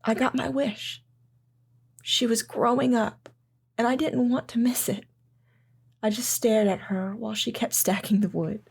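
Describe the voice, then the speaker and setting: A woman's voice: on the high side, steady tone, a little slow, creaky, pauses often, breathy edge, heavily acted. A woman playing a loving mother in an audio drama, speaking in a reflective, sentimental way. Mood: wistful, tender. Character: loving, wistful mother recalling camping with her daughter. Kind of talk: audio drama narration and dialogue.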